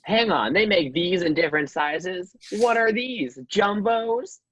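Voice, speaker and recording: Woman voicing a husky, cheeky wisecracking baby boy. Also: medium pitch, unhurried, dull audio, pitched above the performer's own voice. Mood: gleeful leering. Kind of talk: comedic radio play acting.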